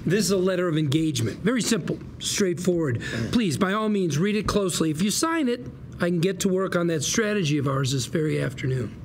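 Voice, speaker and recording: Noisy room recording of a slippery, eager lawyer with a gravelly, fairly high voice. He pitches like a salesman, stumbling briefly before pressing on, in the plain style of a TV drama read-through. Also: lively intonation. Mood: eager, polite. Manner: fast-talking salesman patter with hesitations. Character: glib, hard-pitching male small-time lawyer, eager and slippery. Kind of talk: acted dialogue at a table read. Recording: noticeable background room noise.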